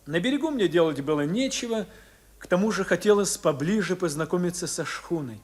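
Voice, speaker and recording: An actor playing a reflective young sailor who narrates lyrically and expressively in a 1950s Soviet radio drama. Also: male voice, sits high, a little fast. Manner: expressive, literary, wistful. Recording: old 1950s radio recording.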